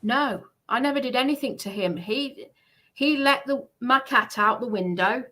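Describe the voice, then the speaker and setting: A woman's voice: fairly high pitch, normal speed, pushed and bright. A woman giving a heated personal account on a livestream, speaking in an indignant, emphatic way and repeating herself for stress.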